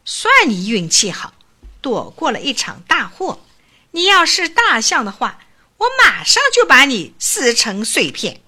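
A woman voicing an arrogant, hot-tempered little male mouse who squeaks and shouts in a storybook. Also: very animated, unhurried, exaggerated voice. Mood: threatening.

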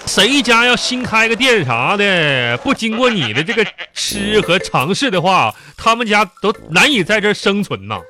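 High-pitched exasperated Northeastern Chinese husband. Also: male voice, big pitch swings, moderate pace, hardly any pauses, pushed and bright, exaggerated voice. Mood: mock praise. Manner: exclamatory, groaning, pleading. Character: long-suffering middle-aged husband, exasperated, whiny, nagging. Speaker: radio comedy host and performer. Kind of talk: live comedy radio drama dialogue.

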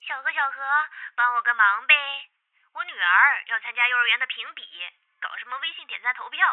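A woman playing a bustling, friendly coworker and young mother in an audio drama, brisk and coaxing. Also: fairly high pitch, narrow, tinny audio, close to an everyday voice.

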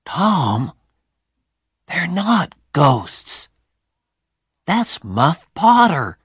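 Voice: mid-range voice, big pitch swings, drawn-out words, fairly bright tone, breathy edge, dry recording, studio quality, voice pushed up, heavily acted. A reader voicing a frightened young boy who whispers anxiously in a graveyard. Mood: urgent explaining. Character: frightened boy Huck, jittery.

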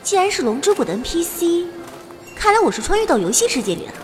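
A playful, excitable teenage schoolgirl in a fantasy audio drama. Her voice is very high and leaps around as she exclaims and talks to herself. Music plays faintly behind her. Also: fast. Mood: delighted. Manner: exclamatory, self-talking, playful. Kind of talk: Chinese audio drama acting.

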